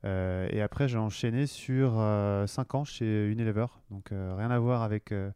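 A man's voice: on the low side, steady tone, moderate pace, mellow, smooth, no echo, clean studio sound. A man in his forties, a marketing director talking through his career in an interview, hesitant with many fillers and restarts. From western France. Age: 40s. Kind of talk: conversational interview.

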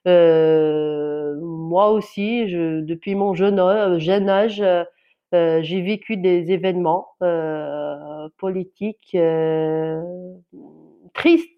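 A woman journalist from Algeria whose first language is Kabyle, speaking in an interview in an earnest, halting way with many restarts and fillers. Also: fairly low pitch, steady tone, smooth, dull audio. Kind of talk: interview answer, personal account.

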